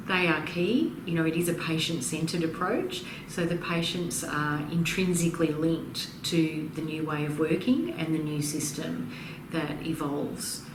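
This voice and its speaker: A woman consultant in an interview, explaining in a measured, emphatic way and listing her points one by one. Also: low voice. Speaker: practice consultant and author being interviewed. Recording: roomy, distant mic, noisy background.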